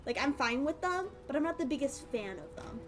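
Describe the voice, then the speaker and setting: A woman livestreaming a game in a somewhat high voice. She talks casually and informally, rambling through her thoughts as if chatting with friends. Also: very animated, fairly quick. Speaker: game streamer.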